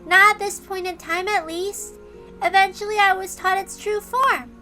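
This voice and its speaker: Very high, bright little girl. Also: moderate pace, faint music behind. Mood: solemn, serene. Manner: childlike, repeats herself in excitement. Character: little girl, eerily serene yet gleefully excitable.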